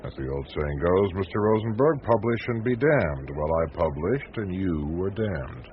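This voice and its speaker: Deep-voiced sardonic reporter, muffled recording. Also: male voice, lively intonation, moderate pace. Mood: sardonic, needling. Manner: sardonic, pointed, insinuating. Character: cynical, needling male American newspaper reporter, indignant crusader. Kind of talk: 1980s radio drama acting. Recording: muffled, noisy old radio broadcast recording.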